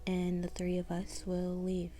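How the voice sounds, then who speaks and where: A woman acts out a scheming mafia femme fatale in an audio roleplay. She speaks in a low, dark, breathy half-whisper, close to the mic, drawing her words out slowly with teasing, cold-blooded poise. Music plays faintly behind her. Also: completely flat delivery, natural voice. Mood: cool, conspiratorial. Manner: slow, teasing, coolly callous. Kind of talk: intimate whispered roleplay acting.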